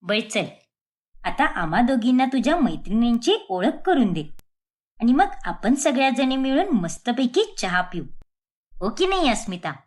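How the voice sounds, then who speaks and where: A woman voicing a simple, unembarrassed middle-class mother in an animated story, talking in a homely, questioning way. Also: a little high, normal speed. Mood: chatty, cheerful.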